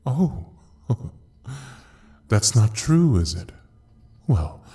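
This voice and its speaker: A man playing a sinister, grandiose ruler of hell in an audio drama, mocking and seductive, with theatrical, drawn-out pauses. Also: lowish voice, sing-song, dark tone, full character voice. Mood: amused, cruel. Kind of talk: dramatic audio roleplay acting.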